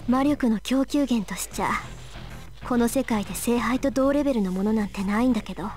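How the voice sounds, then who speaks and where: A woman's voice: a little high, rapid-fire, darkish tone, soft background music, lightly acted. A woman voicing a proud, frustrated young mage in a Japanese visual novel, blunt and grumbling. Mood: frustrated, cornered.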